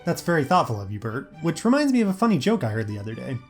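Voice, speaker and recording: A man's voice: mid-range voice, big pitch swings, racing. A man voicing a nervous, skeptical, wisecracking teenage schoolboy in an audio story with music behind. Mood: joking. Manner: flustered, quipping.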